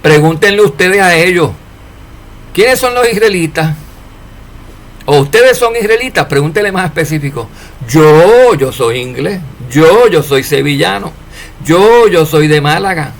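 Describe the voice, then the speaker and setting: A man preaching an informal sermon to his followers, asking rhetorical questions and swinging between loud and soft. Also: a little high, unhurried. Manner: preachy, rhetorical questions, animated rises and drops in loudness.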